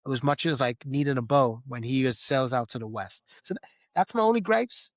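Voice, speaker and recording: A man's voice: medium pitch, expressive, a little fast, dry recording. Casual man hosting a podcast, close dry sound. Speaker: podcast host. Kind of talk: casual film discussion.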